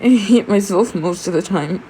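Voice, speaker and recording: A woman's voice: medium pitch, moderate pace, mellow, natural voice, poor audio. An anguished teenage boy, as a woman reader puts him on, pours out bitter self-loathing. The delivery is pleading and strained, a dramatic fan fiction reading with some noise behind it. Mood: tearful self-loathing. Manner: emotional, pleading, strained outbursts.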